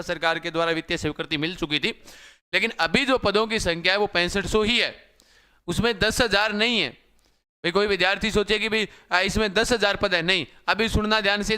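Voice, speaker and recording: A young man teaching exam students live. His voice is slightly hoarse, and he talks repetitively, often calling viewers brother. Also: high-pitched, pushed and bright. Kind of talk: live-stream class talk.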